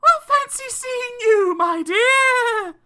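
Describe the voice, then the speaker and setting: Shrill, gushing roly-poly bird. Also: male voice, animated, slow pace, sharp tone, exaggerated voice. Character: glamorous, absurd, affectionate roly-poly bird.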